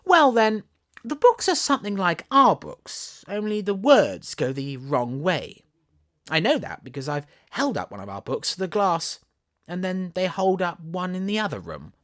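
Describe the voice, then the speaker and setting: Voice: mid-range voice, very animated, unhurried, fairly bright tone, voice pushed up. A storybook narrator with a Southern English accent voicing a chatty, imaginative, bossy little girl who is playful and full of wonder. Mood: eager, confiding.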